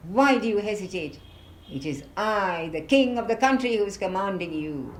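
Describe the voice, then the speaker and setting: A woman's voice: medium pitch, lively intonation, normal speed, lightly acted. A woman voicing a resolute king who gives orders in a firm, imperious way. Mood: commanding. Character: stern king, commanding and resolute. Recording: echoey room, faint background noise.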